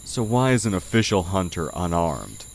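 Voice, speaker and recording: A man playing a sly oni who poses as a humble village blacksmith in an audio roleplay, talking in a measured, condescending way. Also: sits low, big pitch swings, gravelly, a little hiss or hum, heavily acted. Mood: probing, suspicious. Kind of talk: audio roleplay, intimate character acting. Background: night forest ambience with crickets.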